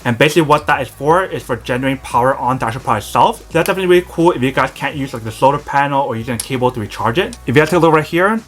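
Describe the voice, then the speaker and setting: A man walks viewers through a gadget in a casual review, his voice at an ordinary pitch. He talks very fast and leans on the same filler phrases again and again. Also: fairly bright tone. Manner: rapid, repetitive filler phrasing, addresses viewers as "you guys". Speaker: product reviewer. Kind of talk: casual product demo narration.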